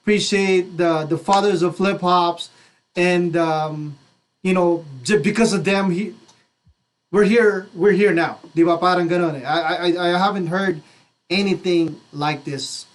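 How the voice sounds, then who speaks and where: A Filipino-accented man co-hosts a radio interview in a high-pitched voice. He talks casually, stammering over repeated phrases as he builds toward his point. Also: fairly even intonation, unhurried. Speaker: radio co-host. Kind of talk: casual chat, mixing Tagalog and English.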